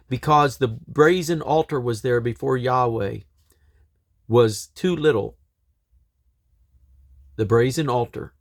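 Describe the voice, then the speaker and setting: A man reads scripture aloud in a mid-pitched voice. His delivery is solemn and declamatory, set out in measured phrases with deliberate pauses. The sound is dry, with no room around it. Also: gravelly, no echo. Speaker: Bible reader.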